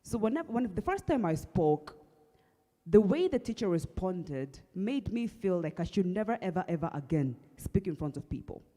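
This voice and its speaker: Husky-voiced Kenyan woman, hall echo. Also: on the low side, very animated, dark tone. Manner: earnest, halting storytelling with restarts. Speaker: speaker at an awareness event. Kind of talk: personal testimony talk. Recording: long hall reverb.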